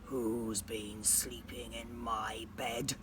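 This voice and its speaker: A woman voicing a gruff, solemn father bear, low, slow and booming. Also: little pitch movement, very noisy, full character voice. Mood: grave.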